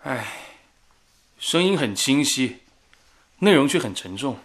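An actor playing a talkative, earnest wartime radio engineer in a radio drama, with an animated, exclamatory manner. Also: male voice, mid-range voice, takes it slowly, heavily acted. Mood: earnest, resolute.

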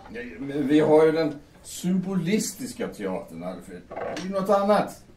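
Man as an urbane bohemian, highish, distant voice. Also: big pitch swings, a little mellow. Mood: reasoning, mild. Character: amused, urbane drinking companion, bohemian literary man. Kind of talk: audio drama dialogue acting. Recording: roomy, distant mic, faint noise.

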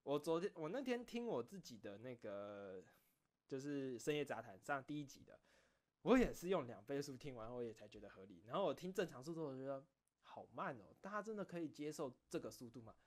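A man's voice: mid-range voice, sing-song, brisk. A man with a Taiwanese Mandarin accent chats casually to his viewers as an online host, rambling a little, correcting himself and repeating phrases. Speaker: online video creator and host. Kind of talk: casual late-night chat to viewers.

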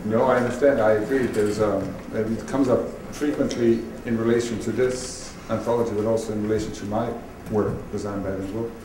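Low-voiced Bosnian man, distant and echoey. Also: fairly even intonation, a little fast, few pauses. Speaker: moderator of a literary panel. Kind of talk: moderating a panel discussion. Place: public event room, recorded at a distance. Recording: distant echoey hall, clearly noisy.

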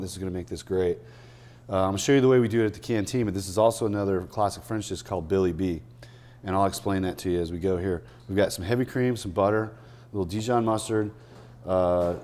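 A man in his fifties hosting a cooking demonstration, talking in a relaxed, chatty way with many fillers, in a restaurant dining room. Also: on the low side. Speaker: chef hosting a cooking segment.

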